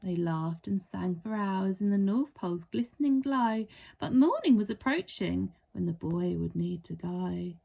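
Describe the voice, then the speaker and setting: Animated woman reading a children's story. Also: medium pitch, gravelly, lo-fi sound. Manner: theatrical, deliberate, storytelling. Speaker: storybook reader. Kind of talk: expressive children's read-aloud narration.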